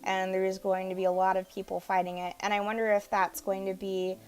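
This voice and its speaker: A woman with a raspy, sore throat from allergies, chatting casually in a home voice-over about a video game. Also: medium pitch, monotone, brisk. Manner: chatty, easygoing, a bit quiet. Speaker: gaming YouTuber. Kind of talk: casual gameplay voice-over commentary. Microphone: home voice-over mic. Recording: voice-over with game music behind.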